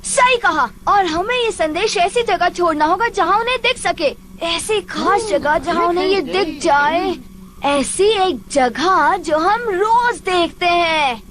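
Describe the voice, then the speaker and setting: Very high-pitched mischievous cartoon kid. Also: female voice, expressive, slow, a bit bright, full character voice. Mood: eager, scheming. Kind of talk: animated film dub.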